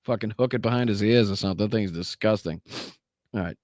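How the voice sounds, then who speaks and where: A man's voice: on the low side, fast talker, a little mellow, dry recording. Boston guy on a livestream, swears a lot, mocks everything, dry close mic. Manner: crude, profane, mocking, laughs often. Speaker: livestream host. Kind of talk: live reaction commentary.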